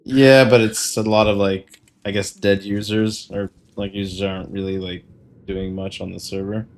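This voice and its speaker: A man chatting casually and in a low-key way while livestreaming gameplay. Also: level tone, moderate pace, a little mellow, a little hiss or hum. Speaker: game streamer. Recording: music playing behind the voice. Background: game music.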